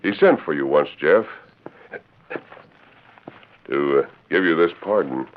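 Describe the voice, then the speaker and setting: Commanding masked western hero, old radio. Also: male voice, extremely low pitch, animated, takes it slowly, full character voice. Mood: calm, earnest. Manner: commanding, decisive. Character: masked heroic lawman of the Old West, calm, commanding. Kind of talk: 1940s radio western acting. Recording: muffled, noisy 1940s radio recording.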